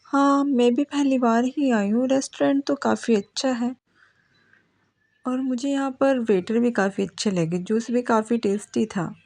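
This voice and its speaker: A woman's voice: fairly high pitch, measured pace, gravelly, a little mellow, no echo. A woman voicing cartoon dialogue as a fretful mother and her headstrong young daughters. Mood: cheerful.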